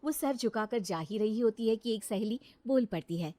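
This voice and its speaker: A woman's voice: fairly high pitch, animated, moderate pace, mellow, no echo. A woman narrating a scripted moral story as the storyteller.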